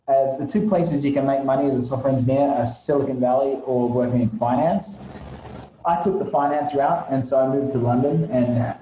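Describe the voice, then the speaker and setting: A man's voice: medium pitch, warm tone, slight background noise. Laid-back Australian man, echoey room. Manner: laid-back, swears casually, acts out his own past remarks. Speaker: guest, SEO company CEO. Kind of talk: casual interview chat.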